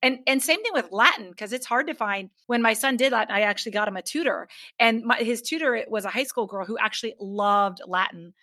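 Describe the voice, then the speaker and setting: A woman's voice: on the high side, fairly quick, few pauses, pushed and bright. A middle-aged woman hosting a casual interview, affirming and recapping what she hears. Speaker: podcast host.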